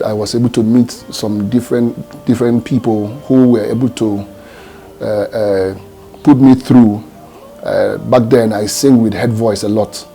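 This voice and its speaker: A man's voice: mid-range voice, mellow. A Nigerian man, a gospel singer, answering interview questions in a reflective, hesitant way with many fillers and restarts.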